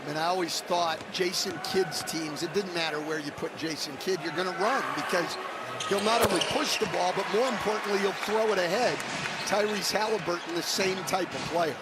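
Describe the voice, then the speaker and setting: A man's voice: high-pitched, a little fast. A man giving live basketball analysis as a colour commentator. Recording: loud crowd and arena noise. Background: basketball crowd.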